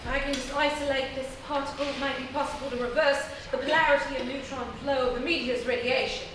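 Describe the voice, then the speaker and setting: A bookish professor played by a woman in a live radio comedy, with a high voice and a dry, pedantic delivery. She is earnest about monster science. The sound is distant and very echoey, with clear noise. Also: steady tone, fast talker, close to an everyday voice. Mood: intent. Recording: roomy live theatre, distant mic, noisy.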